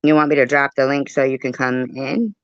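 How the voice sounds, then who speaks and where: A woman's voice: rumbling low, fairly even intonation, fairly quick, no echo. A woman hosts a livestream with casual, profane reaction commentary. She is confrontational and direct. The audio is dull and close, with very little room sound. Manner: blunt, combative, profane. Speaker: livestream host.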